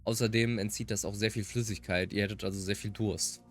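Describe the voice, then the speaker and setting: A man's voice: fairly low pitch, level tone, quick pace, soft background music. A man who is a native German speaker, giving commentary as he plays through a visual novel. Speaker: streamer playing and reading out a visual novel. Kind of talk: game commentary and dramatic read-aloud.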